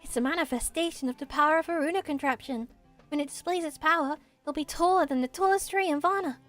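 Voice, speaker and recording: A woman voicing a small, gentle, earnest forest spirit from a video game, childlike and simply spoken in measured phrases. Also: high-pitched, faint music behind. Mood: solemn.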